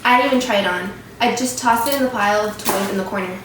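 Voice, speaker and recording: A woman's voice: mid-range voice, fast talker, close to an everyday voice. A woman reading a remorseful narrator's memories of boyhood as scripted readers' theatre. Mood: remorseful, reflective. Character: remorseful Afghan narrator recalling his boyhood. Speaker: student performer. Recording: echoey room, faint background noise.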